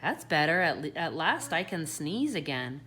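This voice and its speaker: A woman's voice: deep voice, very animated, moderate pace, bright, forceful tone, full character voice. A woman voicing a grumbling little old lady from a children's picture book, exclaiming and pleading. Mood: relieved. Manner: exclamatory, plaintive. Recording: clearly noisy home recording.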